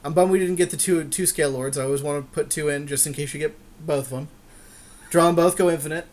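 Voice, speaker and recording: A man's voice: fairly high pitch, steady tone, quick pace, a little mellow. A man co-hosting a gaming podcast with casual, jokey banter, often repeating short phrases. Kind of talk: casual game commentary, banter.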